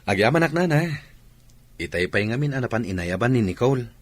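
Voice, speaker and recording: A man's voice: mid-range voice, animated, a little fast, no echo, natural voice. A man playing a polite young village suitor in a Filipino radio comedy-drama, courteous and earnest, with a Filipino accent. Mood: courteous, concerned.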